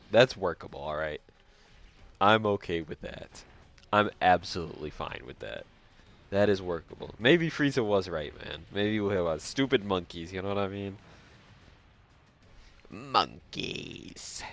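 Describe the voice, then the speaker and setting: Man, low pitch, congested stuffy nose, casual gaming commentary, slangy, repetitive phrasing. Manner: slangy, repeats phrases to himself. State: nasally congested, breathing through mouth. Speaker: gaming video host. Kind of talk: casual live gameplay commentary.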